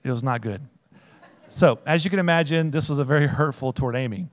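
A man reading a personal testimony to a group, plain-spoken and loud. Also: medium pitch, a little fast. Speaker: speaker sharing a testimony.

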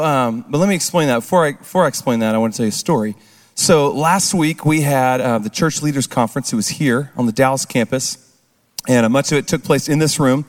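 Hoarse man talking in a reverberant hall. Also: mid-range voice. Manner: casual, engages the crowd with questions. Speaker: speaker at a recovery ministry service. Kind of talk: conversational testimony talk. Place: large church hall with an audience.

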